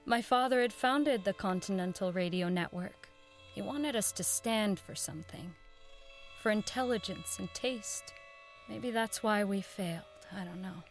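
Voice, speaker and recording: Actress doing earnest 1940s radio exec lady, dignified n persuasive, audio drama, middle pitch, music behind her. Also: measured pace, close to an everyday voice. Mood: wistful, resolute. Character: Helen, idealistic, determined woman radio network executive, late 1940s. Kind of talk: audio drama acting, dialogue and wistful first-person narration.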